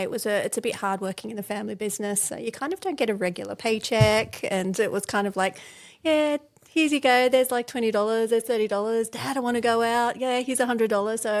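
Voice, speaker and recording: A chatty woman in her forties tells her story as a podcast guest. She talks loosely and often stops to laugh, and her voice sits at a medium, everyday pitch. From born in England, raised in Australia. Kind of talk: casual interview chat.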